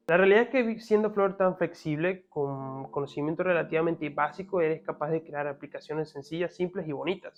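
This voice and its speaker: A young man, a software developer, explaining a learning path in a casual, friendly tutorial and talking straight to the viewer. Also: on the high side. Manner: friendly, direct, addresses viewer as tú, dry jokes. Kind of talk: casual tutorial talk to camera. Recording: tinny phone-like sound.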